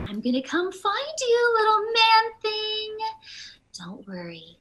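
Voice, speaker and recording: A woman's voice: very high-pitched, wildly swooping pitch, slow pace, sharp tone, a little hiss or hum, exaggerated voice. A woman voicing a playful, hungry video game villainess who mocks her prey. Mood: playfully menacing. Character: predatory taunting villainess, playful, hungry, mocking hunter. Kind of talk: video game character performance.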